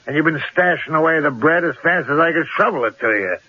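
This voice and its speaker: An actor playing a menacing, scheming crime boss with tough, streetwise talk in a radio drama. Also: male voice, fairly high pitch, lively intonation, quick pace. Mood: insistent, sly. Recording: dull old radio broadcast recording.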